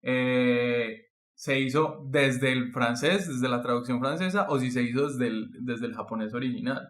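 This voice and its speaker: A man's voice: mid-range voice, a little slow, a bit bright. A man, talking casually as a podcast co-host. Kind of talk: casual discussion. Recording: echoey, roomy sound.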